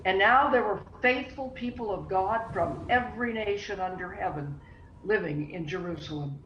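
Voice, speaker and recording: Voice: mid-range voice, a bit bright. Echoey, solemn scripture narrator. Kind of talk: scripture narration in a dramatic reading. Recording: echoey room with background noise.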